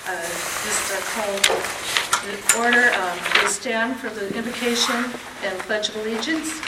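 Hoarse woman opening a town meeting. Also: mid-range voice, measured pace. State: hoarse, squeaky voice. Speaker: board chairperson. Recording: echoey room from far off, loud noise.

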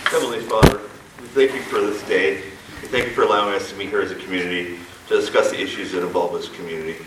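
Low-voiced man praying quickly. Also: heavy background noise. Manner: earnest, steady invocation. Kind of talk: spoken prayer. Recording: echoey room from far off.

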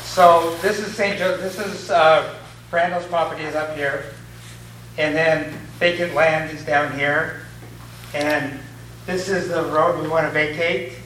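A man's voice: on the high side, fairly even intonation, unhurried, fairly bright tone, a little hiss or hum. A man presenting a request at a town board hearing, informal and unsure of procedure. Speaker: applicant at public hearing. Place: town meeting room. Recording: echoey room from far off.

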